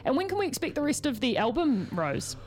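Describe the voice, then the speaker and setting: A woman with a New Zealand accent hosting a radio interview in the studio, chatty and quick to laugh. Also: high voice, sing-song, darkish tone, a little hiss or hum. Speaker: radio host.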